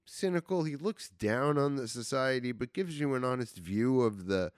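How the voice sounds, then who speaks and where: Enthusiastic, rambling podcast host. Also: male voice, medium pitch, expressive, normal speed, dry recording, clean studio sound. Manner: enthusiastic, rambling, swears freely. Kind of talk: casual movie chat.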